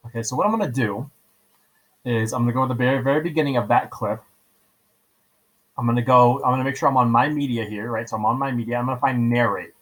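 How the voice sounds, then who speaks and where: A man's voice: medium pitch, a bit bright, poor audio. A man teaching in an informal screencast style, explaining step by step, repeating himself and often checking in with "right?". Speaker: teacher giving a software tutorial. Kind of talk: screen-recording tutorial, casual step-by-step instruction.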